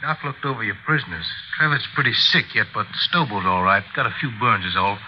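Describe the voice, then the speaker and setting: An actor playing a gruff, plainspoken western jail deputy, blunt and folksy, in 1950s radio drama style. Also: male voice, fairly low pitch, expressive, fairly quick, lightly acted. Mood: frank, folksy. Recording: dull, hissy old radio recording.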